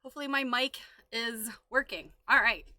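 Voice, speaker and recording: Woman streamer just chatting away, giggly and playful, bright voice, really dry sound. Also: on the high side, normal speed, no room sound. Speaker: livestream host. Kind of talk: casual livestream chat.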